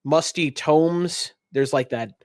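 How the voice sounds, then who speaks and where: Man narrating a tabletop game, higher-pitched voice. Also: slow, no echo, studio quality. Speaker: game master.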